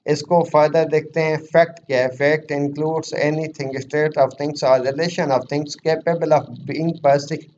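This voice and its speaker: A man's voice: fairly high pitch, mellow. A Pakistani man teaching in an explanatory lecture style, reading formal text aloud and explaining it, often posing questions and answering them himself. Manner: didactic, asks and answers own questions. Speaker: law exam lecturer. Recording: muffled lo-fi audio with faint noise.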